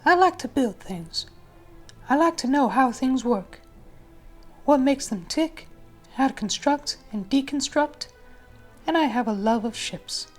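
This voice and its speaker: A woman plays a self-assured, enigmatic rich businessman in an audiobook. The delivery is formal and suggestive with an arrogant edge, pitched fairly high for a woman, with music underneath. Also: lively intonation, a little slow, pauses often. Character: arrogant, enigmatic wealthy businessman being interviewed.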